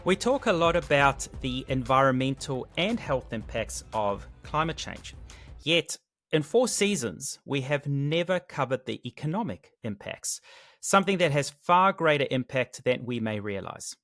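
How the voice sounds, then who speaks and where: New male podcast host, mid pitch, faint music under. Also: big pitch swings, measured pace, fairly bright tone. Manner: friendly, with fillers in his questions. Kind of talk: podcast hosting.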